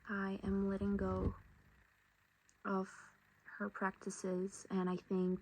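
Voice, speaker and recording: Casting: young adult female vlogger, unhurried and hesitant, fry in the voice, casual crafting chat, faint noise behind. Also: mid-range voice, dark tone, low-quality recording. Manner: hesitant, halting, reflective delivery.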